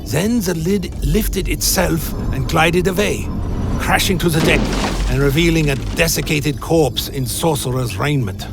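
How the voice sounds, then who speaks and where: A man playing a haunted, wary adventurer who tells his own dark fantasy tale in a radio play, in solemn literary storytelling. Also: fairly high pitch, measured pace, gravelly. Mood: grave, wary. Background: music.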